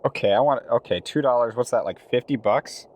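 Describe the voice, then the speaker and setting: A man's voice: medium pitch, fairly quick, a little mellow. A man playing a tabletop role-playing game, casually describing what his character does.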